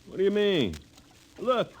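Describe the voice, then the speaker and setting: Brash, coarse astronaut, swooping delivery. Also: male voice, sits high, wildly swooping pitch, unhurried, exaggerated voice. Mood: defensive. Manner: loud, coarse, dismissive. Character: brash, crude, uncaring astronaut crewman. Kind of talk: mid-century radio drama acting. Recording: old radio recording, reverberant, hissy.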